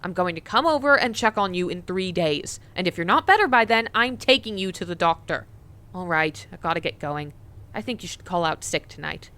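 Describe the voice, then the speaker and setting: A woman's voice: fairly low pitch, expressive, a little fast, sharp tone. A woman playing a caring, bossy, teasing sister and mother in a scripted comic dub. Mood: worried, insistent. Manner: fussing, playful, insistent.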